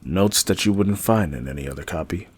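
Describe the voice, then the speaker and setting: A man playing a learned, guarded older mage in a fantasy audio drama, speaking formally and deliberately in a gravelly, husky voice. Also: deep voice. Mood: guarded, reflective. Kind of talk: fantasy audio roleplay acting.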